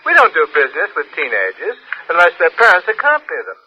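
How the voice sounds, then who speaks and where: Old radio, high-pitched curt club employee. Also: male voice, wildly swooping pitch, fast talker, breathy edge, faint music behind, full character voice. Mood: brisk, firm. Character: nightspot employee fielding a worried caller, brusque. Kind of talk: 1960s radio suspense drama acting. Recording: dull, thin old radio recording.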